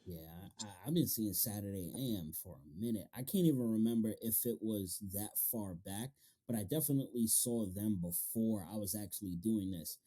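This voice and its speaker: A man's voice: fairly low pitch, expressive, normal speed, mellow, rough recording. A man hosting a casual interview, speaking in an informal, slangy way. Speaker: interviewer, show host.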